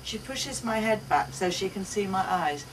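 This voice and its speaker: A woman giving an expressive first-person reading of a short story at a live event. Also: medium pitch, normal speed. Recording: distant mic, faint room noise.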